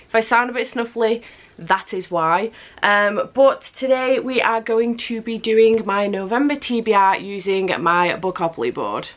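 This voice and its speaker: A woman's voice: medium pitch, animated, measured pace. A young woman with a cold and a stuffy nose, chatting to camera as a book vlogger, repeating words for emphasis. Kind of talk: casual chat to camera. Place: home room with building work nearby.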